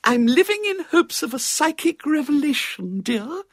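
A man acts out a dotty elderly lady from a storybook, pitched extremely high. She is dreamy and gushing, with an affected, theatrical way of drawing out her words. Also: big pitch swings, measured pace, dry recording, studio quality, exaggerated voice.

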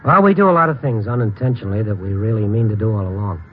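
An American actor playing a calm, wry man who narrates and consoles friends in a measured, noncommittal way, in old-time radio drama style. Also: on the low side, slight background noise, lightly acted. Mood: guarded. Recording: muffled old radio recording, music behind.

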